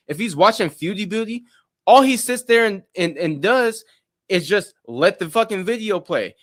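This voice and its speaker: A man in a furious streaming rant, loud and profane, taunting his targets. His voice sits high for a man, and he keeps up a relentless, mocking push. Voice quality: deep voice in his own view. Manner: profane, loud, taunting, mocks others' monotone. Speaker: streamer in a played clip. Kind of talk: angry rant.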